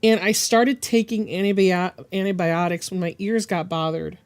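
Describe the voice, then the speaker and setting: A woman streaming while she draws, her voice hoarse and deepened by bronchitis, talking in a halting, rambling way and clearing her throat often. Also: steady tone. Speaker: digital art streamer. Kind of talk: casual live-stream chat.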